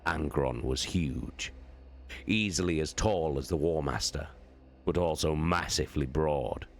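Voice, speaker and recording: A man streamer giving a slow, deliberate dramatic reading of a novel. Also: rumbling low. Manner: slow, deliberate, drawn-out phrasing. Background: faint background music.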